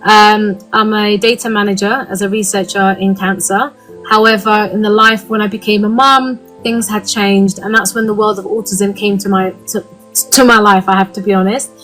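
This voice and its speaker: A woman's voice: mid-range voice, steady tone, moderate pace, a bit bright, faint music behind, poor audio. Earnest British woman, many fillers. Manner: earnest, frequent "um" fillers, candid. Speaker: guest speaker. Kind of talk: live online talk.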